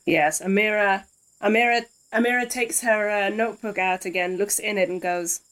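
A woman's voice: medium pitch, normal speed, fairly bright tone, low-quality recording. A woman playing a tabletop roleplaying game, casually narrating what her character does. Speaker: player.

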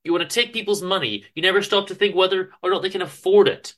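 Story reading: gloomy, rebellious office drone played by a man, wordy and earnest, slightly high, forceful voice. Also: fast. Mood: indignant rant. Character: Chester, gloomy, principled, rebellious male office worker.

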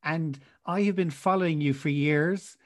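Male podcast host, eager, tripping over his words, a little high-pitched. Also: moderate pace. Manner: eager, stumbling restarts. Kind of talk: casual interview chat.

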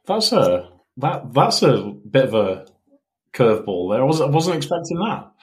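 A man's voice: medium pitch, big pitch swings, normal speed. A man co-hosts a casual interview podcast. His mid-range voice moves up and down with plenty of variety, and he often restarts his words as he reacts. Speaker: podcast co-host.

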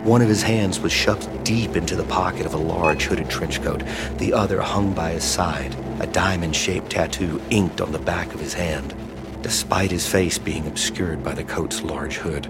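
Low-voiced man narrating over loud music. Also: moderate pace. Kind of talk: scripted horror drama narration.

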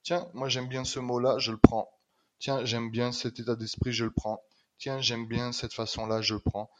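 A middle-aged man from Réunion with a Réunionese French accent tinged with Québécois, talking in an emphatic, lively way and repeating words for stress. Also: medium pitch, normal speed. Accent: Réunionese French with some Québécois color. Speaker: guest. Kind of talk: casual conversation.

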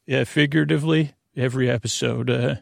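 A man with a creaky, gravelly voice at an average male pitch delivers a bedtime podcast monologue. He wanders softly from tangent to tangent, often stopping and starting, in a soothing manner. The sound is dry, with no room to it. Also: steady tone, no echo. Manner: meandering, tangential, halting; soothing, gentle. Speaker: podcast host.

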